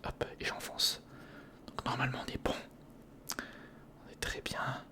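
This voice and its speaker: An ASMR host whispering breathily and close to the mic, thinking aloud to the listener through a step-by-step build. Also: unhurried. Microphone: close recorder or studio condenser microphone.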